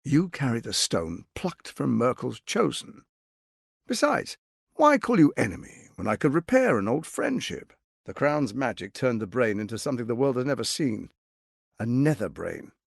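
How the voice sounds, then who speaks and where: An actor voicing a scheming, smug tyrant lord in a video game, speaking in a pompous, silky, menacing and theatrically emphatic way with a gravelly edge. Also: male voice, mid-range voice, very animated, normal speed, dry recording, studio quality. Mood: calculating. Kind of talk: dramatic video game voice acting.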